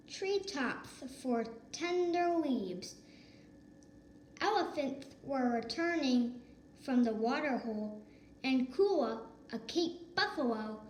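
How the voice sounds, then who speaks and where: High-voiced woman reading slowly to children. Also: darkish tone. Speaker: storybook reader. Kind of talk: children's read-aloud narration. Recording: echoey room with faint noise.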